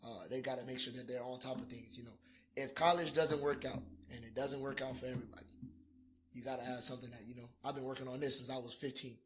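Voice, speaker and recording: A man's voice: medium pitch, fairly even intonation, quick pace, fairly bright tone, a little hiss or hum. A twenty-one-year-old man, a guest on a podcast, talking reflectively in casual chat and checking in with his listener often. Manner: reflective, keeps saying "you know what I'm saying".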